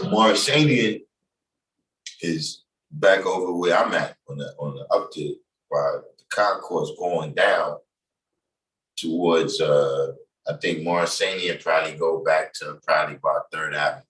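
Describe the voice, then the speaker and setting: Deep-voiced New York man, roomy and distant. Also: a little slow, fairly bright tone. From New York City, Bronx. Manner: loose, conversational, many fillers. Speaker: livestream host. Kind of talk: casual livestream talk. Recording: echoey room, distant from mic.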